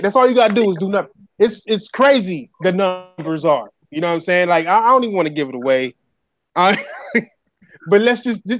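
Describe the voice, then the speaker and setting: A man co-hosting a podcast in casual gaming chat, laid-back and slangy, often stammering on his words. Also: high-pitched. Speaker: podcast co-host.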